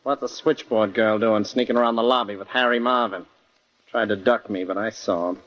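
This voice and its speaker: A man's voice: lowish voice, steady tone, fairly quick, close to an everyday voice. A man playing a suspicious, bullying law partner in an old radio drama, tough-talking, accusing and sneering. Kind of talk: 1940s radio drama acting. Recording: muffled old radio recording.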